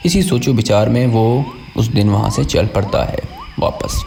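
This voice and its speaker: A man's voice: lowish voice, big pitch swings, measured pace, creaky, mellow, slight background noise. A man telling a children's moral story in a plain conversational way, voicing the dialogue within his narration.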